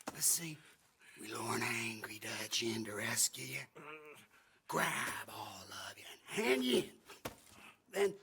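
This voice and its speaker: An actor playing a sneering, taunting outlaw gang boss in a western video game, with a theatrical drawl. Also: male voice, medium pitch, big pitch swings, slow pace, creaky, pauses often, sharp tone, exaggerated voice. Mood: gloating, menacing. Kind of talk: dramatic game cutscene acting.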